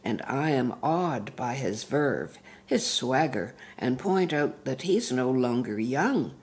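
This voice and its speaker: A woman reads poetry in a low, husky voice. She takes her time, placing each phrase slowly and deliberately. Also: expressive, a little mellow. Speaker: poetry reader. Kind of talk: poetry reading.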